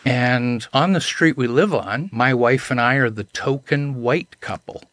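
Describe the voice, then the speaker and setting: A man's voice: mid-range voice, unhurried, a bit bright, no echo. A man, a podcast guest telling anecdotes in an earnest, self-deprecating way. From Canada. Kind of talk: casual chat, storytelling.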